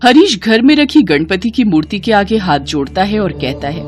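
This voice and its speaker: A woman reads a scripted children's moral story. Her mid-pitched voice moves in a very lively range, and there is some background noise on the recording. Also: normal speed. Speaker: narrator.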